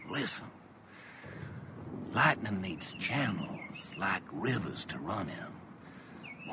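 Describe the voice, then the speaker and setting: A man's voice: on the low side, exaggerated voice. A wildly theatrical, ominous salesman. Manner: theatrical, swooping from hushed menace to shouts. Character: eccentric, ominous male lightning-rod salesman, folksy storm prophet. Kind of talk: radio drama acting. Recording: dull, noisy lo-fi audio.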